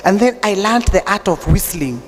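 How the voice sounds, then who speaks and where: A Kenyan woman with an unusually deep voice, preaching a sermon that mixes English and Swahili, telling stories with humor. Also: lively intonation, moderate pace. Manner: chatty storytelling with humor. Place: church. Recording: long church reverb.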